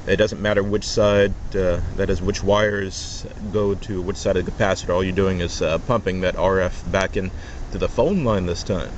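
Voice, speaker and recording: A man giving an informal hands-on tutorial as a DIY presenter, explaining step by step in a halting way. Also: low voice, steady tone. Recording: dull lo-fi camera audio, loud noise.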